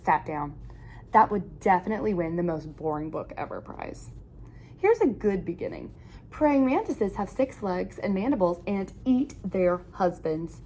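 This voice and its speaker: A woman reading a children's picture book aloud in an animated, expressive storytelling manner with emphatic phrasing. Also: on the low side, a little hiss or hum.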